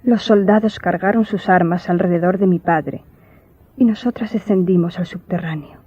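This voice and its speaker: An actress playing a sorrowful young woman recalling childhood horror, telling it gravely and wistfully in a radio drama. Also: on the low side, normal speed, no echo. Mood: fearful remembering. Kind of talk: Spanish radio drama acting. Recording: dull lo-fi audio, faint music.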